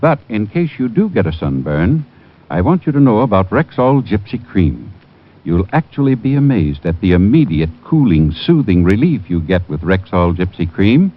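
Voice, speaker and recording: An American man delivers an old-time radio sales pitch. His fairly low voice moves expressively as he instructs. The sound is dull and dated. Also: big pitch swings, normal speed. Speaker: commercial pitchman, druggist spokesman. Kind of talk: vintage radio advertisement reading. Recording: dull vintage radio recording.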